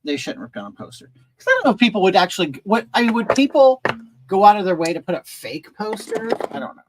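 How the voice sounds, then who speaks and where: A man's voice: sits high, big pitch swings, fast, noisy background. A man from rural California hosting a casual stream, chatting with viewers and rambling through hesitant, restarted sentences while doing makeup. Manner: rambling and hesitant, many restarts, reading and answering chat. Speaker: livestream host.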